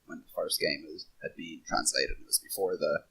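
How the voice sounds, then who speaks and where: A man's voice: very deep voice, fairly quick, gravelly, fairly bright tone, slightly rough, no room sound. A man chatting casually on a podcast panel, often repeating himself as he gathers his thoughts.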